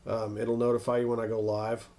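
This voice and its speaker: An American man hosting a casual, unscripted weather livestream, thinking aloud in a halting way as he answers viewers. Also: on the low side, flat delivery, warm tone. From northern United States.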